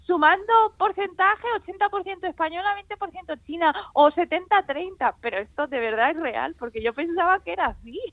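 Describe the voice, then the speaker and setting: A young woman from Spain, an author and illustrator, talking in a lively way and laughing often as a radio guest on the phone. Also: very high-pitched, fairly quick, hardly any pauses, no room sound. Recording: tinny phone line.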